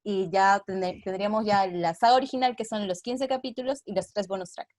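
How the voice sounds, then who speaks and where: A woman's voice: mid-range voice, steady tone, a little fast, darkish tone, no echo, clean studio sound. A woman with a Peruvian accent talking casually as an interview guest, earnest and explanatory. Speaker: theater artist, interview guest. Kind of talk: casual video-call interview.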